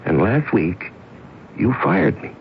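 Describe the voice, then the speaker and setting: An actor playing a bewildered, earnest fired employee in a 1970s radio drama. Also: male voice, lowish voice, sing-song, slow pace, gravelly, slight background noise, exaggerated voice. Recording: muffled old radio broadcast.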